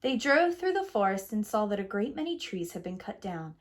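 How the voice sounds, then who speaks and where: A woman's voice: mid-range voice, very animated, brisk. A woman preschool teacher narrating a children's picture book as a storyteller.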